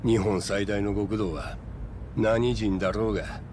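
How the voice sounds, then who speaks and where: Man playing sly low-voiced Kansai yakuza lieutenant. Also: steady tone, measured pace, a little mellow, close to an everyday voice. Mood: dry, explanatory. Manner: mock-polite, drawling, insinuating. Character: sly, tough middle-aged male yakuza lieutenant. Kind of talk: video game cutscene acting. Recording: noisy vehicle ambience.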